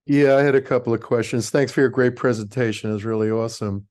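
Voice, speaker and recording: A man with a voice of middling pitch puts questions to the presenter. He hesitates, fills pauses, and restarts his sentences as he goes. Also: fairly even intonation, a little mellow. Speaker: audience member asking questions. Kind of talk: halting questions on a call.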